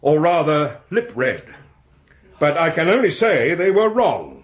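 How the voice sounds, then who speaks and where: An actor playing a flustered, defensive academic official in a radio drama, protesting in halting, formal phrases. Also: male voice, a little high, sing-song. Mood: earnest pleading.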